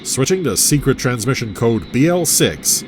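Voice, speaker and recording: A man voicing a calm, dutiful young male rescue pilot who reports in a businesslike way. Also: mid-range voice, normal speed, noisy background. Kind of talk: audio adventure story reading.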